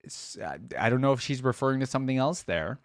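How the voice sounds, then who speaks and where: A man's voice: medium pitch, animated, quick pace, no echo, studio quality. A man hosting a reaction video, giving casual commentary and musing aloud as he goes. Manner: musing, thinking aloud, repeats phrases he hears.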